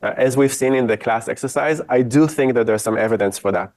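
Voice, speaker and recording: A man's voice: medium pitch, brisk, darkish tone, no room sound. A man from Israel giving a university lecture off the cuff, speaking frankly and giving his own opinions.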